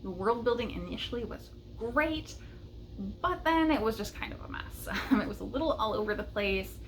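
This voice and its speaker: A woman's voice: on the high side, lively intonation, moderate pace. Enthusiastic woman book vlogger, noisy background. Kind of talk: casual talk to camera, book recommendations.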